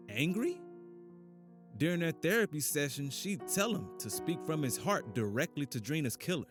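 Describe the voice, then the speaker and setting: A man narrating a scripted drama, telling the story and a character's thoughts in dramatic prose. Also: medium pitch. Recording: piano music under the voice. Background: piano music.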